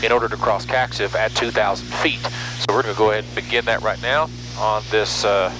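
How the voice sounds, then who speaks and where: A man's voice: lowish voice, fairly even intonation, a little fast. A middle-aged American man chatting and explaining casually as he flies, talking into an aviation headset. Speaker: pilot and vlog host. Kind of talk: casual explanatory vlog talk while flying. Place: cockpit of small propeller airplane. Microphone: aviation headset mic. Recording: loud aircraft engine hum, headset intercom.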